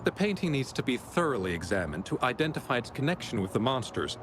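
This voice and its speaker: A man's voice: medium pitch, very animated, quick pace, background noise, lightly acted. An actor voicing a formal, reassuring male investigator in a fantasy video game, speaking like an official. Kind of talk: English video game voice acting.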